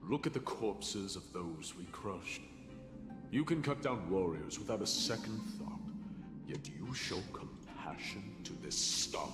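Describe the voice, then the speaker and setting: A man's voice: fairly low pitch, lively intonation, measured pace. A man voicing a ruthless, haughty warrior lord in a dramatic video game, speaking in formal archaic phrases with contempt. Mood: contemptuous. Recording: long reverb, game music behind.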